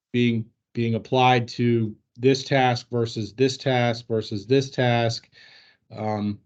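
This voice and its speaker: A man with a mid-pitched voice leads an informal work training over an online call. He explains things haltingly and hedges as he goes. The audio is dull and lo-fi. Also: a little mellow. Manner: hesitant, halting, hedging. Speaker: trainer leading an internal staff training. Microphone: video call microphone.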